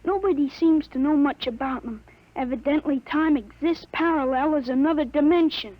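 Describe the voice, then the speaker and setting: A woman playing a thirteen-year-old boy with a grown man's mind in a 1950s radio drama, speaking calmly and matter-of-factly on a dull, lo-fi old recording. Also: high-pitched, normal speed, dry recording, natural voice. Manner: calm, matter-of-fact, self-assured.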